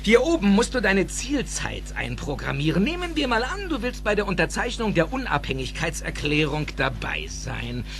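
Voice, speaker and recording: An actor playing an excitable, eccentric older scientist in a German audio drama, theatrical and emphatic, with precise technical phrasing. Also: male voice, high voice, moderate pace, creaky, hardly any pauses, heavily acted. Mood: instructive, reminiscing.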